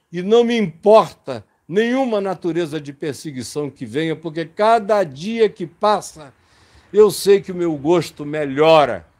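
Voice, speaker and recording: A man's voice: high voice, darkish tone. A man preaching a sermon in an impassioned, emphatic way.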